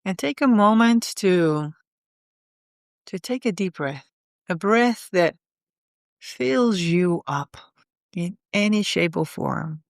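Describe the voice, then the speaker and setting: A woman leading a guided meditation in a soothing, unhurried way, often pausing in the middle of phrases. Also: mid-range voice, expressive, dry recording, clean studio sound.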